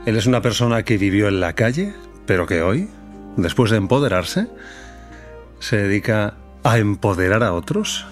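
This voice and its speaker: A middle-aged man hosting a podcast, speaking in a slow, deliberate, reflective way. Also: on the low side, big pitch swings, faint music behind. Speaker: podcast host. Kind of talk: reflective monologue and interview.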